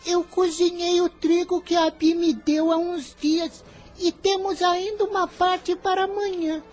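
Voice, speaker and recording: Frail ragged little boy for radio drama, played by a woman, timid, very high voice, faint music behind. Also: level tone, measured pace, a little mellow. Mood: plaintive, timid.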